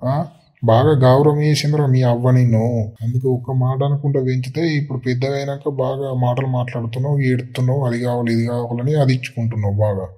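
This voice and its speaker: A man's voice: mid-range voice, steady tone, fast, mellow, lightly acted. A man voicing a grumbling, sarcastic village father in a comedy cartoon, with a Telangana accent. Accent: Telangana Telugu. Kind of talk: Telugu comedy cartoon dialogue.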